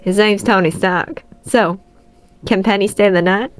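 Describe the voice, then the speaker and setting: A woman's voice: mid-range voice, steady tone, a little slow, lightly acted. Woman voicing a cheeky, confident teenage girl. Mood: cheerful.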